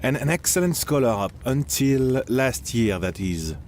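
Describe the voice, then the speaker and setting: A man's voice: medium pitch, lively intonation, measured pace, darkish tone, a little hiss or hum, lightly acted. A man playing a courteous 1700s Frenchman in an audio drama, speaking politely and formally. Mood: tactful, hesitant.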